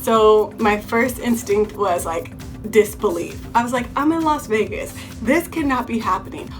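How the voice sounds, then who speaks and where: A woman's voice: a little high, moderate pace. A young woman from California's Bay Area, a beauty vlogger, chatting through a story-time video and often repeating herself. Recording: music playing underneath.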